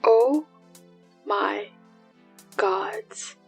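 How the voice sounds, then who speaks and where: A woman playing a brash, teasing older schoolgirl in an audiobook drama, playful and expressive. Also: mid-range voice, extremely slow, vocal fry, faint music behind. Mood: gushing, teasing. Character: Kaname, teasing, foul-mouthed older schoolgirl teammate. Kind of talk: audiobook character acting.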